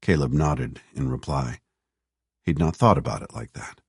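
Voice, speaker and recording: A male audiobook narrator with a very deep voice, reading in a measured, even way. The recording is dry and close, with no room sound. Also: vocal fry, husky, no room sound. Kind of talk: scripted narration.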